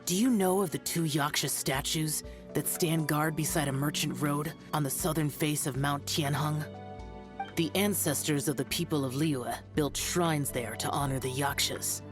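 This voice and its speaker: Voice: medium pitch, fairly even intonation, normal speed, lightly acted. Actor doing aloof young demon slayer for a game, solemn n formal, music behind. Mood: solemn, instructive. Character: stern, aloof, ageless young male demon-slaying guardian. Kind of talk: video game voice acting.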